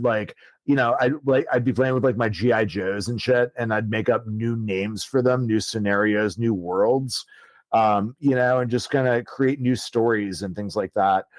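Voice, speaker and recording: A man's voice: medium pitch, normal speed, no room sound. A man in his thirties, an author guest telling his story in a casual, rambling way with many fillers and easy swearing. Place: podcast studio.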